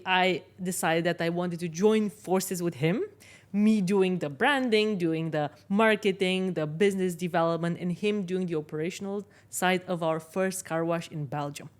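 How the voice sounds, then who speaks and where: A middle-aged woman from Romania, telling her own story confidently as an interview guest. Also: fairly low pitch. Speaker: interview guest, business owner. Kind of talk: conversational personal storytelling.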